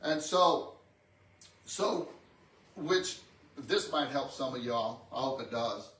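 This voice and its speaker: A man's voice: medium pitch, lively intonation, slow pace, a bit bright. A man in his sixties preaching a conversational teaching sermon, often repeating words and checking in with amen and okay. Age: 60s. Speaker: pastor preaching. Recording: echoey room, distant microphone.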